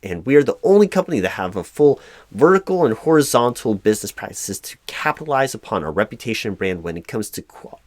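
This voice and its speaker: A man performing a job interview roleplay as a flustered, apologetic hiring manager, speaking formally in a halting monotone. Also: lowish voice, no room sound, clean studio sound. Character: mildly frustrated, apologetic male corporate hiring manager. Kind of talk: one-sided job interview audio roleplay.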